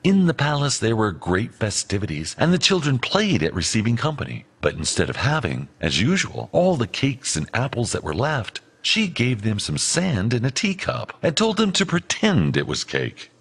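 A man narrating a fairy tale as an audiobook reader, in an expressive storytelling manner. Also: mid-range voice, moderate pace, hardly any pauses, husky.

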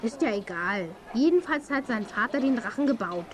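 A woman's voice: fairly high pitch, lively intonation, normal speed, slight background noise, natural voice. A woman playing a cheeky, mischievous, giggly child in a children's audio drama.

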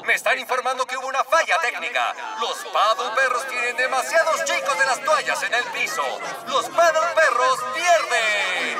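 High-pitched melodramatic cartoon sports announcer. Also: male voice, expressive, normal speed, hardly any pauses, heavy background noise. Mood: dramatic. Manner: melodramatic, suspenseful. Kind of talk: sports commentary. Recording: distant voice over music and crowd.

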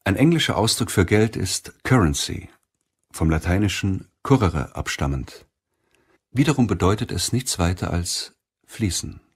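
Low-pitched man narrating an audiobook. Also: lively intonation, measured pace, husky. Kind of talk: scripted audiobook narration of a self-help book.

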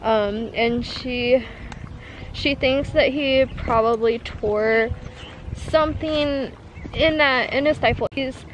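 High-voiced young woman vlogging. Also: a little hiss or hum, poor audio. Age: 20s. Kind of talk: casual vlog chat. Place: outdoors and in barns at a horse farm. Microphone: handheld camera at arm's length.

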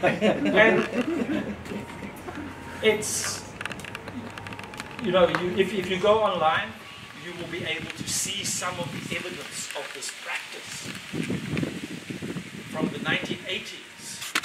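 A man in his sixties giving a reflective, measured speech to an audience at a gallery opening, with pauses between phrases. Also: sits high. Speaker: speaker at an exhibition opening. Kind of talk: unscripted public speech. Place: gallery entrance, indoors facing an audience. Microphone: far camera, no mic seen. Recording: roomy, distant, noisy ambience.

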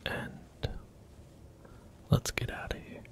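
A man softly whispering his way through a video game, gentle and unhurried, close to the mic. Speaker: ASMR gaming host. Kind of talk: soft-spoken ASMR gameplay commentary.

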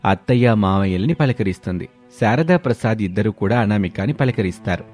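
A man's voice: lowish voice, animated, a little fast, darkish tone, faint music behind. A man narrating a scripted story as the storyteller.

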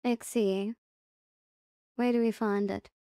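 A woman presenting a casual software tutorial step by step, unhurried and thinking aloud as she goes. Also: mid-range voice, fairly even intonation, clean tone, no room sound, studio quality.

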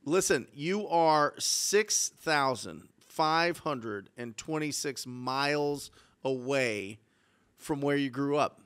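A man's voice: medium pitch, takes it slowly, clean tone. A man in his forties hosting a podcast interview, talking energetically and emphatically on a studio mic. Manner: energetic, emphatic, hypes the guest. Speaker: podcast host, real estate coach. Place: studio set with mountain mural. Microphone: studio mic on boom arm.

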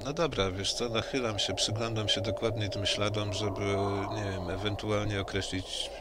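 A man's voice: lowish voice, steady tone, normal speed. A man speaking bluntly and improvising in-character dialogue as a player in an online tabletop role-playing game. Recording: online session with loud background noise.

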